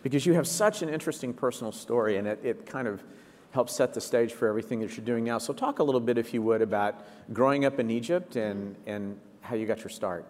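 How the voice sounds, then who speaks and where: A man's voice: medium pitch, quick pace, a little mellow. A man conducting a conversational interview onstage at a live speaker event. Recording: reverberant hall.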